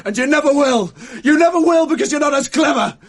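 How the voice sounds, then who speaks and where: A proud young Englishman, betrayed and drunk, pitched very high and hurling accusations. His voice breaks between shouting and near-tears with wounded pride. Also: lively intonation, a little fast. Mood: furious. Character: impassioned young man, betrayed, bitter, proud. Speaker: jilted friend. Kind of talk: 1980s radio drama acting.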